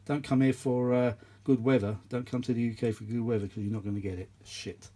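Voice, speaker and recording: A man's voice: mid-range voice, fairly even intonation, fairly quick, warm tone. A British man giving casual, unscripted repair commentary in a rambling, grumbling, blunt way. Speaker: host of vintage audio repair channel.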